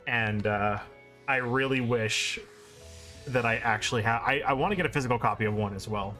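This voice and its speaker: A man's voice: medium pitch, normal speed, bright, forceful tone, faint music behind. A man chatting casually as he streams a video game. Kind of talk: casual live stream commentary. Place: indoor live stream with game music behind.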